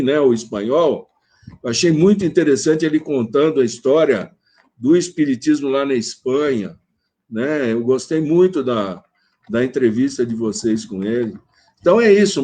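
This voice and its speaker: A man from Brazil with a Brazilian Portuguese accent, speaking at length as a panel guest in a live online conversation, with many fillers and pauses. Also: medium pitch, a little mellow. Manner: rambling, hesitant, many fillers. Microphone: video call.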